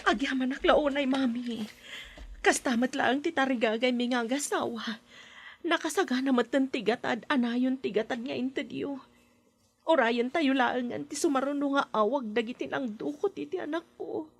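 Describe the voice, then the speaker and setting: A woman's voice: sits high, moderate pace, breathy edge. A woman playing a worried young mother of a kidnapped child in a radio drama, polite and strained. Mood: anxious. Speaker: wife and daughter-in-law.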